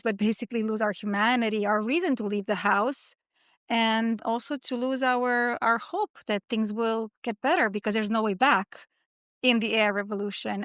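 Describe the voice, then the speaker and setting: A woman host from western Romania with a Romanian accent, measured and a little hesitant as she interviews a guest. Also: on the high side, fairly bright tone, no room sound, studio quality. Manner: measured, with hesitant ums and restarts. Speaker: podcast host. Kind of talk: interview podcast.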